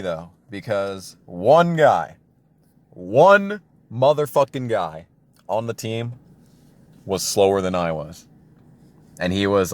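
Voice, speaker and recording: A young man telling a casual personal story as an exasperated, self-deprecating rant with plenty of swearing. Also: fairly low pitch. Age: young adult. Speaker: storytelling video creator.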